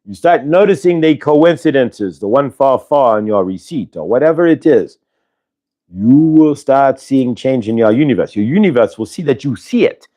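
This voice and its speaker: A man's voice: medium pitch, animated, dark tone, natural voice. Man channeling a benevolent spirit, oddly accented. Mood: insistent, wondering. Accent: affected, vaguely Indian-sounding put-on accent. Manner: declarative, speaks as "we", drawn-out emphatic words. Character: benevolent otherworldly spirit collective speaking through a channeler. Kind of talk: live channeled energy healing session for an audience.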